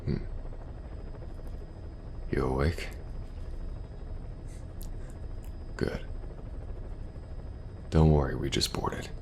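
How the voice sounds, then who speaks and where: A man playing a gruff, protective mercenary commander, speaking softly and reassuringly in an ASMR audio roleplay. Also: background noise, heavily acted. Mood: calm, caring. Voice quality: gravelly, very deep. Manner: slow, soft-spoken, reassuring.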